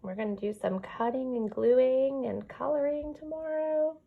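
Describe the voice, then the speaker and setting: A woman's voice: high-pitched, measured pace, vocal fry, narrow, tinny audio. A woman who teaches kindergarten reads a children's picture book aloud expressively, adding chatty asides to young listeners.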